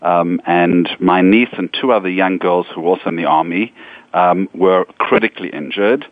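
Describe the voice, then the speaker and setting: Very deep-voiced middle-aged man with a South African accent who speaks earnestly and measuredly. His voice comes over a narrow line that sounds like a telephone, dry and close. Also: no echo. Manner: earnest, measured, frequent ums. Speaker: nonprofit executive, guest. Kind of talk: interview answers, personal story.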